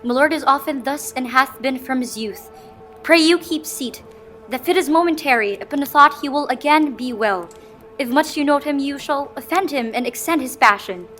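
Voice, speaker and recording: A woman's voice: high-pitched, moderate pace, fairly bright tone, faint music behind. A woman playing a commanding, scornful queen in a dramatic reading of Shakespeare. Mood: composed, gracious. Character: commanding, scornful queen covering for her husband.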